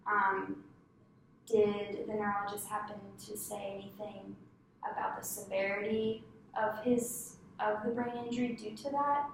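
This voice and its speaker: A woman conducting a clinical case-history interview, asking polite, halting questions with many fillers. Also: medium pitch, steady tone, creaky. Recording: echoey room, distant mic, faint noise.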